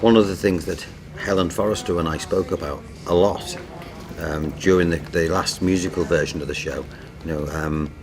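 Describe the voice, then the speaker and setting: Casting: male writer interviewee, low voice, measured and slightly halting. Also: steady tone. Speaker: playwright. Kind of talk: interview talk.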